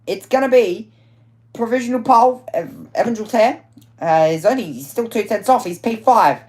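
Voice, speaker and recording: A woman giving live commentary on a motorsport qualifying session, chatty and quick to correct herself, with plenty of fillers along the way. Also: fairly high pitch, lively intonation, a little mellow.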